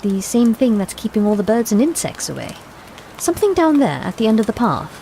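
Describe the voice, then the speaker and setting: Actress as whimsical time-traveller, higher female voice, very animated, wry, observant, audio drama, faint rainy noise. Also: brisk, gravelly, rough recording. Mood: alert, wry.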